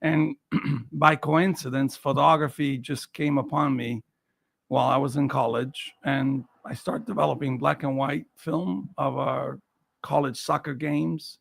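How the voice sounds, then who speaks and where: A man's voice: medium pitch, steady tone, unhurried, creaky. A man in his sixties from Naples with an Italian accent, telling personal stories as an interview guest and repeating phrases for emphasis. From Naples, Italy. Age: 60s. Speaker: interview guest, professional photographer. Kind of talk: personal storytelling in a casual interview.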